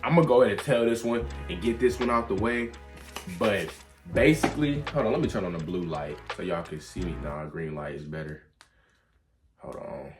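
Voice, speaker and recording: A man's voice: fairly low pitch, a bit bright. A young adult man telling a personal story in a casual, rambling, slangy way. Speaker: vlog storyteller.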